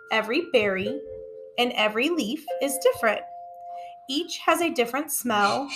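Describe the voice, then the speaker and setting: A woman reading a children's storybook aloud in a deliberate, expressive way for young listeners. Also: fairly high pitch. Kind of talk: read-aloud storybook narration.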